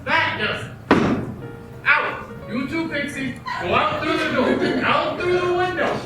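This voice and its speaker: A man playing a gruff, barking bartender. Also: very high-pitched, a little slow. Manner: barking, brusque tough-guy talk. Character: gruff male bartender, rough and hostile. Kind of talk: amateur radio play acting. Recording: echoey room, far off, music behind.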